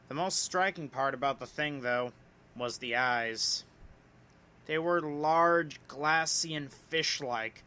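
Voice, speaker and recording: A man's voice: on the high side, sharp tone. A man narrating a scripted horror story in a theatrical way, drawing out key words for emphasis.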